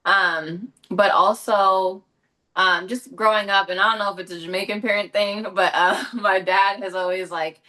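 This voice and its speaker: A woman's voice: mid-range voice, fairly even intonation, normal speed, bright, forceful tone, rough recording. A young woman from Los Angeles answering interview questions in a chatty way, with many fillers and self-corrections. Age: young adult. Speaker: actress and guest.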